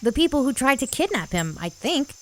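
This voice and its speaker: A woman's voice: high voice, very animated, fairly quick, a bit bright. A woman voicing an earnest, thoughtful young boy in a kids' audio drama, eager and sincere.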